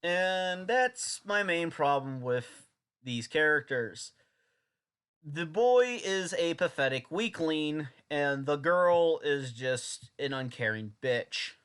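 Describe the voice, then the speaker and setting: A man's voice: on the high side, animated, slow pace, a bit bright, clean tone. A young man reviewing an old anime dub in a casual, sarcastic, rambling way.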